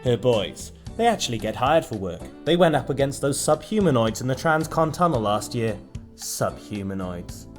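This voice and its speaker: Man as a grumbling sidekick, very expressive pitch. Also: moderate pace, close to an everyday voice. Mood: uneasy, sardonic. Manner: sardonic, disapproving. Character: grumbling, uneasy, world-weary sidekick. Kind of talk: audio drama acting. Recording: music under the voice.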